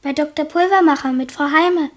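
A woman's voice: high voice, a little fast, close to an everyday voice. A female performer playing a chatty little schoolgirl in a radio play, eager and matter-of-fact as she tattles. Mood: tattling.

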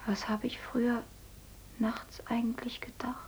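A woman's voice: a little high, level tone, unhurried, darkish tone, a little hiss or hum, lightly acted. An actress playing a lonely young foster daughter who whispers her inner thoughts in a radio play. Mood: longing.

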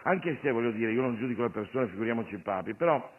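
A male comic actor delivering an animated, digressive satirical monologue on stage, addressing the audience and his sidekick. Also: mid-range voice, quick pace. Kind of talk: theatrical stand-up monologue with a sidekick. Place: theatre stage. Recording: noisy, narrow phone-like radio recording of stage show.

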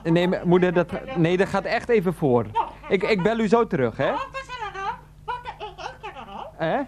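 An actor playing a fussy, placating husband and son in a radio play, hesitant and repeating himself. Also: male voice, high voice, measured pace, a crowd in the background.

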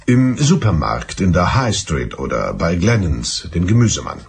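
Radio drama suspect: middle-aged husband, ex-army, low gravelly voice, hesitant and guardedly polite. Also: male voice, very animated, normal speed, exaggerated voice. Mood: composed, hesitant. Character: evasive male suspect husband, ex-army explosives expert. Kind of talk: German radio drama acting.

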